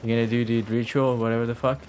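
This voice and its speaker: A man's voice: mid-range voice, flat delivery, rapid-fire, a little mellow, poor audio. Grumbling male game streamer, faint noise behind. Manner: sarcastic, grumbling, profane. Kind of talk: casual live-stream commentary. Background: video game audio.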